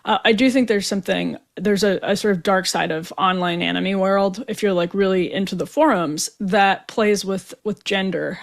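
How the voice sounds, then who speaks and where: Young woman host, chatty political talk, lots of uhs, medium pitch. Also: fairly even intonation, normal speed, vocal fry, few pauses. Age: young adult. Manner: conversational, with frequent fillers and restarts. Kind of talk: political commentary talk show.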